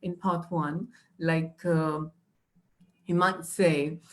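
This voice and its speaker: A woman's voice: low voice, steady tone, very slow. A young woman, teaching exam tips patiently with many fillers and restarts. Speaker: IELTS trainer. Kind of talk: live online teaching.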